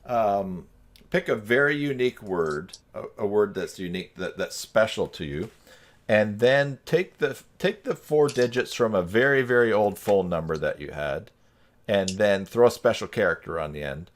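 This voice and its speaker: A man's voice: fairly low pitch, expressive, moderate pace. A man hosting an informal live group video chat, talking in a casual, rambling way. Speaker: live stream host.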